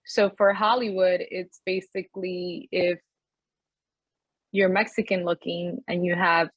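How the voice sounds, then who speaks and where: A woman's voice: fairly low pitch, little pitch movement, unhurried, dry recording, lo-fi sound. A woman in her thirties, an actor, answering interview questions candidly from home over a video call. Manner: candid, frank, with light self-aware laughs. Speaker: actor guest. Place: home room.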